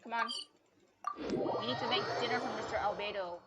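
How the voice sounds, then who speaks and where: A woman's voice: fairly high pitch, moderate pace, very noisy. A woman doing casual live gameplay commentary, muttering to herself and swearing offhandedly, with game music behind her. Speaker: game streamer.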